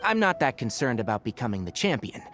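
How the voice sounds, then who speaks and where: A man voicing a sensible, dry-witted young forest ranger who explains things calmly. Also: medium pitch, fast, faint music behind. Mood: level. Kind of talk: video game voice acting.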